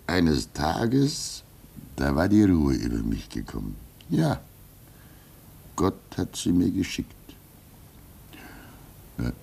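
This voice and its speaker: Slow, deep-voiced old hermit. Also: male voice, gravelly, breathy edge, exaggerated voice. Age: older. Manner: fatherly, reflective, halting, swinging between emphasis and hush. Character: gentle, resigned old hermit of a remote northern outpost. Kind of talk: German radio play acting.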